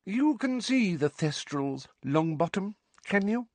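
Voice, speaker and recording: Guy doing a middle-aged lady inspector from an audiobook, sugary n patronising, high voice, drags out every word. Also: big pitch swings, a little mellow, no echo, heavily acted. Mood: indifferent probing. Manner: slow, over-enunciated, patronising. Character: sickly-sweet, condescending middle-aged woman school inspector.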